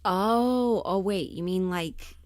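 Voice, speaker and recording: Unhurried woman chatting casually, dry close sound. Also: mid-range voice, no room sound. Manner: scattered, thinking aloud, giggly. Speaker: livestream host and audio performer. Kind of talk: casual livestream chat.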